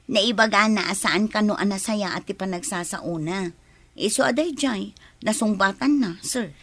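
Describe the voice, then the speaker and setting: Bright-voiced worried loyal employee. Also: female voice, medium pitch, normal speed, no room sound. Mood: deferential, hesitant. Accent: Ilocano Filipino. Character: worried, loyal female employee, deferential and cautious. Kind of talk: Ilocano radio drama dialogue.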